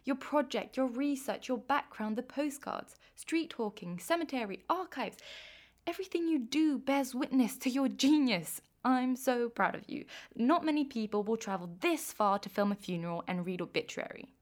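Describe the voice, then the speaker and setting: A woman's voice: high voice, animated, normal speed. A woman playing a stern, suspicious Turkish official in a radio play, interrogating and lecturing with sarcasm. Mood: mocking.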